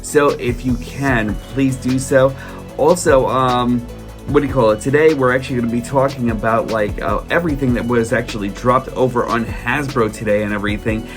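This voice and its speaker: A man talking casually to his viewers in a vlog, hoarse from a cold, rambling with lots of fillers and restarts. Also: medium pitch, steady tone, normal speed, music in the background. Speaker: toy collector vlogger. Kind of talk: casual solo vlog chat.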